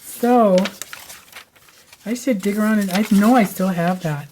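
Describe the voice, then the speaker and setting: A woman hosting a crafting channel, talking casually at home with a raspy voice, rambling from story to story with lots of fillers and no microphone on. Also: mid-range voice, unhurried, darkish tone, a little hiss or hum. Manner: chatty, digressive, lots of fillers. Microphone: no microphone, camera mic.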